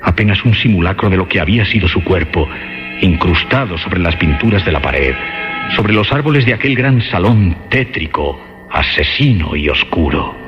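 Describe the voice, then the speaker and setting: Male narrator for radio horror: very low, grave and dramatic, emphatic repetitions, clear music bed. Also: animated, moderate pace. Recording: dull lo-fi radio sound.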